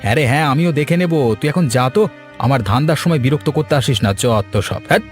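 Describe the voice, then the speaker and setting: A man's voice: on the high side, expressive, quick pace, faint music behind, close to an everyday voice. A man voicing an arrogant, scornful biryani shop owner in a cartoon moral tale, haughty and dismissive in manner. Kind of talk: animated moral story voice acting.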